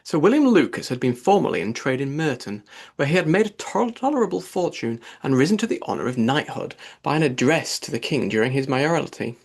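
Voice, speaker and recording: A man reading a classic novel aloud as an expressive audiobook narrator, with a husky, slightly gravelly voice. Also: medium pitch, fairly quick.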